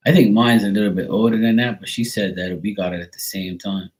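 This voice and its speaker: A man's voice: lowish voice, level tone, brisk, darkish tone. A man from Bermuda chatting casually on a live stream, telling rambling everyday stories with lots of fillers. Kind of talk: casual chat.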